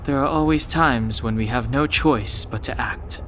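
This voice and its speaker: A man's voice: mid-range voice, unhurried, a bit bright, a little hiss or hum, lightly acted. A man voicing an earnest, resolute young warrior in a video game. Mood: calm, grave. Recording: music behind the voice.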